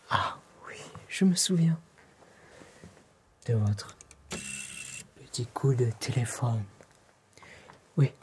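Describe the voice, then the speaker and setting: A man from France with a French accent voicing a courteous, fussy fantasy-village tailor in an ASMR roleplay, breathy and often whispering, with formal, polite, deliberate phrasing. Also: medium pitch, dark tone, a little hiss or hum. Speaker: ASMR performer playing a tailor.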